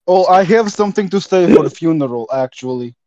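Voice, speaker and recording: A man co-hosting a comedy podcast, delivering rambling mock-solemn speeches in a deadpan way. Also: a little high, fairly quick, no room sound, highs cut off. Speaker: podcast co-host. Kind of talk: improvised comedy banter.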